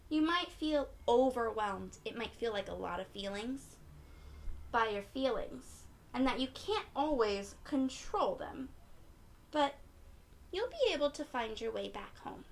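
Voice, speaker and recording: Slow, high-voiced woman reading to children. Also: animated, creaky. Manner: gentle, encouraging, addresses children directly with questions. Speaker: children's read-aloud storyteller. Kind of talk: expressive picture-book read-aloud for young children.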